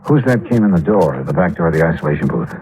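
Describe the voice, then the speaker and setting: An actor playing a hard-boiled insurance investigator who makes wry, terse remarks in a 1950s radio drama. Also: male voice, sits low, lively intonation, fast talker, warm tone, poor audio. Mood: dry.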